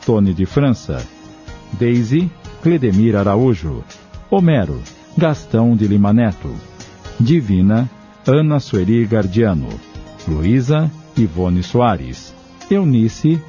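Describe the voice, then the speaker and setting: A man's voice: fairly low pitch, big pitch swings, slow, long pauses, warm tone. A man with a Brazilian accent reading scripted narration and announcements for a radio drama. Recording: dull lo-fi radio recording with music.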